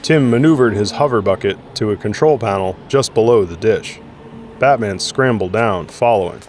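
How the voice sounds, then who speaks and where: A man with a fairly low voice narrates a dramatic story from a script. Faint city and vehicle ambience runs behind his reading. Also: normal speed, a little hiss or hum.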